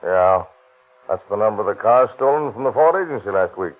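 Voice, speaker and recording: A tough detective, gruff and full of street slang, with a voice that swings up and down. He sounds thin and boxed in, like a 1930s radio play heard down a phone line. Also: lowish voice, lively intonation, a little fast. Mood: puzzled. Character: tough, wisecracking police detective. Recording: tinny 1930s radio broadcast.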